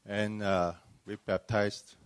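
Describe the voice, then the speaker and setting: Pastor from Malaysia, male, deep-pitched, hesitant phrasing, giving testimony at a panel, dry recording. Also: little pitch movement, slow pace, smooth, no echo. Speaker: church planting pastor. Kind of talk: personal testimony at a panel discussion.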